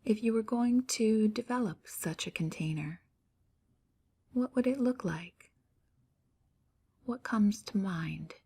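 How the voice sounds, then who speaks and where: A woman guiding a relaxation meditation in a soft, near-whispered, calm voice with gentle pauses. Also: medium pitch, husky. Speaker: meditation guide. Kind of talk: guided meditation.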